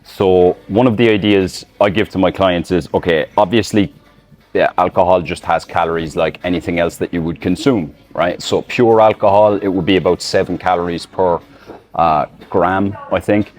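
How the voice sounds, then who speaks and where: A man's voice: low-pitched, normal speed, a little mellow. An Irish man, a fitness coach, talking casually to a phone camera outdoors, loose and rambling with many fillers. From Ireland. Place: outdoors in public, filming selfie-style. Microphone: phone camera in selfie mode.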